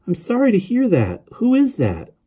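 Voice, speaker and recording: A man acts out a lonely little boy in a storybook reading. The voice sits well above an adult man's and bounces around in pitch. He is eager and guileless, rambling on and asking questions in a childlike way. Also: huge pitch swings, normal speed, dark tone, slightly rough, exaggerated voice. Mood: bewildered. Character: lonely, eager, stunned young boy on the phone.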